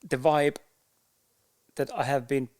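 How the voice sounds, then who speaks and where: A man with an Estonian accent, a podcaster speaking to camera in a candid, unhurried way, with frequent pauses to find his words. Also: medium pitch, steady tone, a little mellow. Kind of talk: casual talk to camera.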